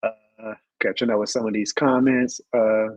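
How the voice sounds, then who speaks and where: Hoarse man ranting about his team. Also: lowish voice, level tone, moderate pace, darkish tone, no room sound. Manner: passionate, rants and repeats lines for emphasis. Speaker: sports show host. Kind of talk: live sports rant and chat reading.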